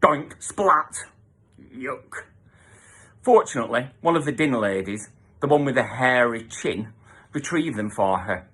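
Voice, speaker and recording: A man's voice: mid-range voice, animated, unhurried, bright, forceful tone, husky. A man reading a children's story aloud as a theatrical narrator, with emphatic sound-effect words. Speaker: storybook reader.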